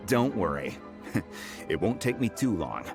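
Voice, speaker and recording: A man's voice: low-pitched, measured pace, full character voice. An easygoing craftsman. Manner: relaxed and obliging. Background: soft game music.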